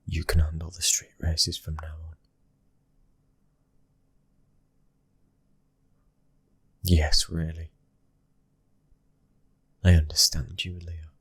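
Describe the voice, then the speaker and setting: A British man playing a tender, devoted mafia husband and father in a soft ASMR roleplay, speaking in a gentle, breathy hush and often whispering. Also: very deep voice, no echo, full character voice. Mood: proud, sincere.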